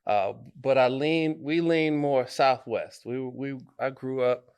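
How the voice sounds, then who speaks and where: A man from Detroit, a guest artist, answering interview questions in a thoughtful, halting way, with many fillers and restarts. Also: mid-range voice, darkish tone, smooth. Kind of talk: conversational interview.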